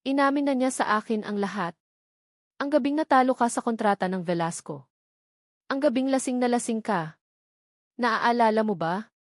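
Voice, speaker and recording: A woman acts out a stern, wealthy old father in a storybook romance drama. The patriarch is forceful and commanding and allows no refusal, in a dry, close sound. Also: a little high, moderate pace, pauses often, no room sound, lightly acted. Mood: angry.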